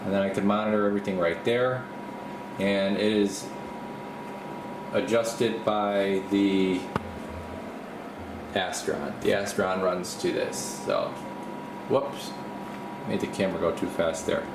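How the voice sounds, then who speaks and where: A man's voice: deep voice, takes it slowly, creaky, pauses often. A man giving a casual, off-the-cuff workshop tour as a video host, rambling and thinking aloud, speaking from behind the camera. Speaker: video host, radio repair technician. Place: small indoor home workshop. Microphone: camera mic, speaker behind camera. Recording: roomy, steady air-conditioner-like hum.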